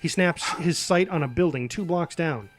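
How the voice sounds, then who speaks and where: A man's voice: a little high, moderate pace, faint music behind. A man narrating a screenplay, reading out scene headings and action as a scripted narrator. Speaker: narrator reading stage directions.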